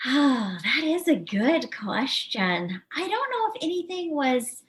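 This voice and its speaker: A woman's voice: high voice, big pitch swings, takes it slowly, fairly bright tone. Woman, interview guest, informal, conversational, thinking aloud. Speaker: novelist being interviewed as podcast guest.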